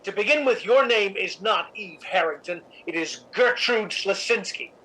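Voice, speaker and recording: A woman's voice: medium pitch, normal speed, fairly bright tone, husky, rough recording. A woman playing a cold, cynical, domineering theatre columnist in a radio drama, speaking in an imperious, articulate way. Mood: cold, menacing. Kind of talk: 1950s radio drama acting.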